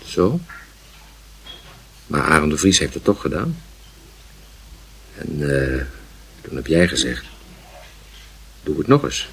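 Very deep, creaky, stern father. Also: very animated, fairly bright tone, full character voice, poor audio. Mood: stern, admonishing. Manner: admonishing, questioning, deliberate. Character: stern, moralizing father lecturing his young son. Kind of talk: radio play dialogue.

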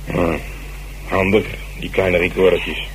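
A man in a Dutch radio drama playing a weary, sarcastic police officer with a gruff, grumbling manner. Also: low-pitched, little pitch movement, slow. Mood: sarcastic, annoyed. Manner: gruff, sardonic. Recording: noisy old radio recording.

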